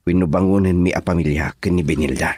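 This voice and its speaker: A man playing a hushed, lovelorn young man. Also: deep voice, fairly even intonation, fast, slightly rough, no echo. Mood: earnest. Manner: soft, hushed, yearning delivery. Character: lovelorn young man pining for his sweetheart. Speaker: voice actor in a radio drama. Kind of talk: radio drama acting.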